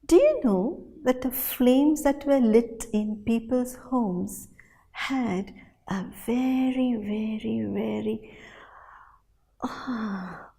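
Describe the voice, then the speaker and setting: A woman storyteller telling a folktale in a dramatic, animated way, with deliberate pauses and repetition. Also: on the high side, gravelly. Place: hall at a storytelling festival. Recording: reverberant hall sound.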